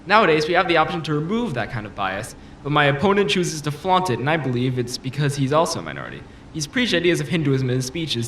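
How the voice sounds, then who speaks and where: A man's voice: on the high side, fairly bright tone. An actor playing a self-assured, combative politician in an audio drama, pressing his argument with polished, insistent rhetoric. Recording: roomy echo, faint background noise.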